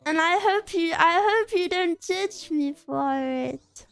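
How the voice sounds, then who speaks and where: High-voiced woman streamer, slow and giggly. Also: gravelly, no echo, soft background music. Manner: self-conscious, giggly, trips on R sounds. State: throat scratchy, clearing it. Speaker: VTuber streamer. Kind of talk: casual stream chat, reading chat aloud.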